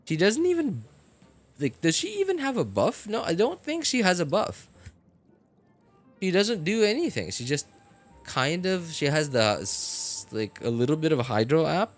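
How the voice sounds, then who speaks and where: A man talking casually on a game live stream, rambling with many fillers and getting animated about his opinions. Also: fairly high pitch, normal speed, faint music behind. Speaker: gaming streamer.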